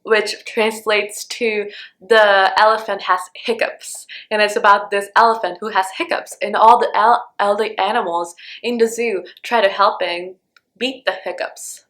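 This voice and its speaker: A woman's voice: medium pitch, fairly even intonation, a little slow, fairly bright tone. A young woman from Honduras, a native Spanish speaker, answering viewer questions in a casual book vlog, chatty with lots of "like" and "um". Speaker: book vlogger.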